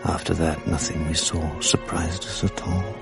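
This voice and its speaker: A man's voice: sits low, fairly even intonation, normal speed, creaky, loud music behind the voice. An actor playing an ailing, grieving, lonely man speaking his thoughts in a subdued, reflective radio drama monologue. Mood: brooding, haunted.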